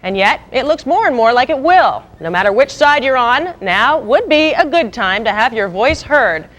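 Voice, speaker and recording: High-voiced American woman anchoring the news. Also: sing-song, moderate pace, a bit bright, low-quality recording. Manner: wry, punchy, irreverent delivery. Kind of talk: scripted local TV news narration.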